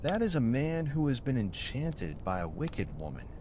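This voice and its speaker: A man's voice: medium pitch, very animated, moderate pace, warm tone, slight background noise, close to an everyday voice. A man voicing a butcher's shrewd young daughter, a firm and formal enchantress, in a bedtime storybook. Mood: certain.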